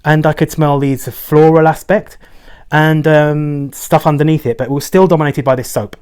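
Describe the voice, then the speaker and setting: A man talking casually on a fragrance review vlog, rambling along and often checking in with a quick "right?". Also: on the high side, fairly even intonation, darkish tone, clear, resonant tone.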